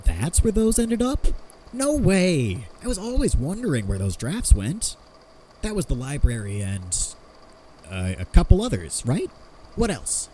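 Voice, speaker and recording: Eager self-deprecating male inventor actor role, audio drama, lively swooping delivery, soft-edged tone, chatty and rambling. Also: fairly high pitch, measured pace, a little hiss or hum. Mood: delighted, nostalgic. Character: eager amateur inventor explaining his homemade theory of strange phenomena. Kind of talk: fan fiction audio drama, conversational monologue.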